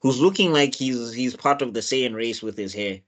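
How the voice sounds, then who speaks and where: A man's voice: mid-range voice, normal speed. A man casually recapping a TV episode as co-host, rambling with lots of fillers and restarts. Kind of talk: casual chat.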